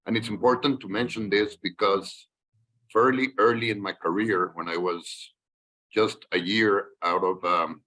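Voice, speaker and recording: A man, a guest telling his career story in a podcast interview in a measured, reflective way. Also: fairly low pitch, bright, forceful tone, low-quality recording. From Colombia. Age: 60s. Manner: measured, reflective, with frequent fillers and restarts. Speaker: guest, investment banker.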